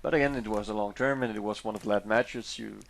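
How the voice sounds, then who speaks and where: A Danish man whose first language is Danish speaks English with a Danish accent, commentating on a card game he played. He talks in a fairly low voice. He is chatty and loose, often hesitating and restarting his phrases as he works out what to say. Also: steady tone, fast, gravelly. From Denmark. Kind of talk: casual commentary over match footage.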